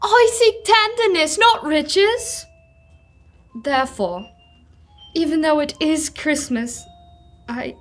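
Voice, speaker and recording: A young woman playing a sorrowful, gentle fiancée in an old-time radio drama. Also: high voice. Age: teens.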